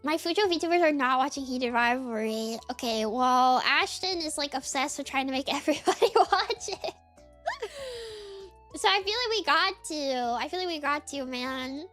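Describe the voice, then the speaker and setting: A woman's voice: high voice, expressive, moderate pace, fairly bright tone, faint music behind. A woman streamer chatting casually in a rambling, giggly way, full of fillers. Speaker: VTuber streamer.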